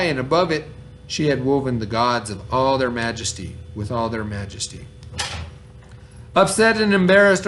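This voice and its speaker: A man's voice: a little high, a little hiss or hum, poor audio. A man teaching children, reading a story aloud and explaining it informally, with questions to his pupils. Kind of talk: children's read-aloud and lesson.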